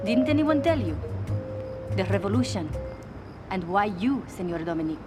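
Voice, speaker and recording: An actress playing a poised, guarded official and translator in a video game cutscene, formal and pointed. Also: female voice, mid-range voice, sing-song, normal speed, music in the background, natural voice.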